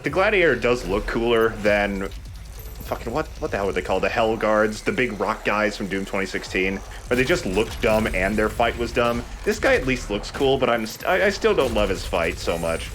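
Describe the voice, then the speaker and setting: Low-voiced man doing game commentary. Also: lively intonation, normal speed, fairly bright tone, a little hiss or hum. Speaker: let's play commentator. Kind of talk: casual gameplay commentary, explanatory.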